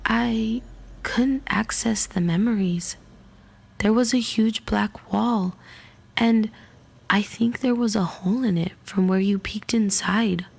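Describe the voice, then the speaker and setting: Actress as troubled android woman: husky, creaky, slow and hesitant, for sci-fi radio drama. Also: mid-range voice, darkish tone, exaggerated voice. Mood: uncertain, troubled. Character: troubled, uncertain female android.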